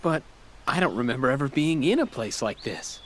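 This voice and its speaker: A man's voice: medium pitch, sing-song, moderate pace, slight background noise, natural voice. A man playing an inquisitive, slightly anxious young technician in a dubbed sci-fi game cutscene. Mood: uneasy.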